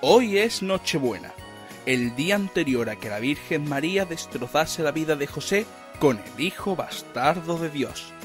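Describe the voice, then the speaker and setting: A man's voice: fairly high pitch, expressive, measured pace, soft background music. A man reading scripted narration as a storyteller.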